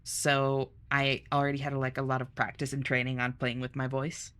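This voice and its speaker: Bright, bubbly young woman streamer. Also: extremely low pitch, completely flat delivery, moderate pace, clean tone. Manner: bubbly and chatty, laughs often. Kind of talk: casual live-stream chat, reading chat aloud.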